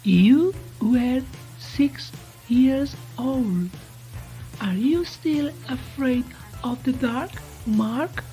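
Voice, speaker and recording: A woman voicing a menacing radio host who taunts in a slow, mock-polite way, in a horror story. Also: sits high, warm tone, soft background music, pitched above the performer's own voice, rough recording. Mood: taunting.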